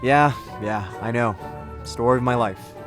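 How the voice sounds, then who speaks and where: A man's voice: mid-range voice, a little slow, music in the background, natural voice. A man playing a vain, sarcastic tech specialist with a flippant manner in a radio play.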